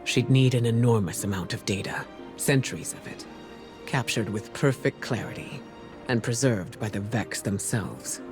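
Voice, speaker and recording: A man's voice: fairly low pitch, natural voice. Man playing a grave sci-fi adviser over music. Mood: grave, reluctant. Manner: serious, deliberate, hesitant to burden others. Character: grave, measured male adviser in a sci-fi saga. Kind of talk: video game character dialogue.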